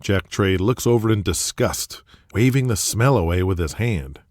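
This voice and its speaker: A man's voice: lowish voice, animated, moderate pace, a little mellow, no room sound, studio quality. A man narrating a scripted audio drama.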